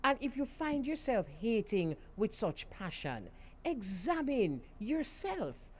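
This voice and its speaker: A woman with a Jamaican accent hosting a radio talk show, emphatic and quick to laugh. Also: fairly high pitch, a little hiss or hum. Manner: lively, laughs often, emphatic. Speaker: radio host.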